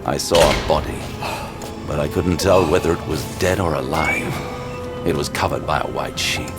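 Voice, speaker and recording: An actor playing a grim detective, narrating an audio drama in a terse, grave, suspenseful way. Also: male voice, rumbling low, moderate pace, over loud music. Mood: tense suspense. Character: grim male detective recounting a horror case. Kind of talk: first-person dramatic narration.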